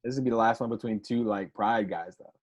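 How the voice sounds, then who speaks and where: A man's voice: fairly low pitch, fairly even intonation, a little fast. A man co-hosting a casual sports chat, slangy and easygoing. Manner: casual, slangy, says "bro" and "like". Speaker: podcast co-host. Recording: dull lo-fi mic.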